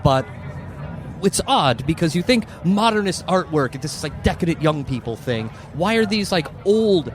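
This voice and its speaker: A man's voice: on the high side, animated, moderate pace. A man working as game master, improvising narration for a tabletop game. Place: room with the players.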